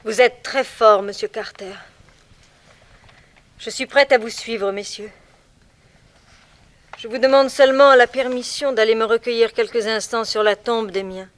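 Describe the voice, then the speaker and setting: A woman's voice: a little high, measured pace, pauses often, natural voice. Melodramatic, proud villainess played by a woman. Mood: cold, dignified. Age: middle-aged. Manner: melodramatic, imperious. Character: proud, vengeful middle-aged murderous mother. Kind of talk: 1960s French radio crime drama acting.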